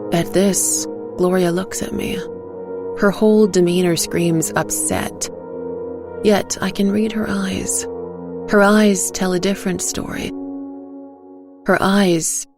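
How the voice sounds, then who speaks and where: A woman narrating a dark first-person story in a slow, hushed, measured way. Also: lowish voice. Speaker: narrator and voice actor.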